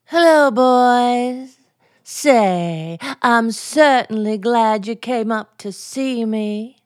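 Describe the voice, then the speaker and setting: A woman's voice: fairly high pitch, extremely slow, darkish tone, no room sound, studio quality. A woman playing a theatrical wife who puts on mad, melodramatic acts, in 1940s radio comedy style. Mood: seductive, playful. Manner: exaggerated, melodramatic.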